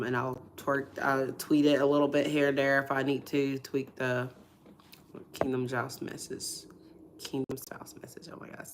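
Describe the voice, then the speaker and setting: Hoarse, congested woman with a low voice. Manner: soft-spoken, hesitant, many fillers. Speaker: ministry livestream host. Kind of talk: casual testimony livestream.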